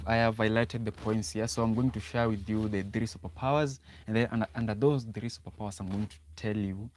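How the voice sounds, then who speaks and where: A man's voice: on the low side, darkish tone, a little hiss or hum. A young Kenyan man hosting a casual livestream, often repeating words and restarting sentences as he talks to viewers. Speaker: livestream host.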